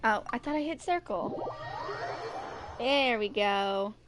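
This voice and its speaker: A young woman in her twenties talks to her stream viewers in a relaxed, offhand manner. Her voice sits a little high, and she keeps things informal and chatty, like someone settling into a long session. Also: slow pace, noisy background. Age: 20s. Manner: laid-back, sing-songs filler syllables to self. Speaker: live streamer. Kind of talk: casual chat with stream viewers.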